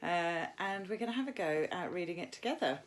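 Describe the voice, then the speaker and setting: A woman's voice: on the low side, lively intonation, brisk. A British woman, an English teacher, reading a novel aloud and explaining it informally as she goes.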